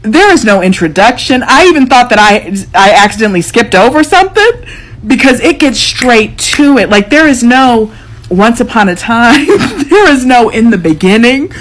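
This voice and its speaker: A young woman with an American accent talks casually, as in a social media video. Her voice sits fairly high and swings widely in pitch, with expressive, slangy phrasing. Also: unhurried, low-quality recording. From United States. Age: young adult. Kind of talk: casual chat to camera.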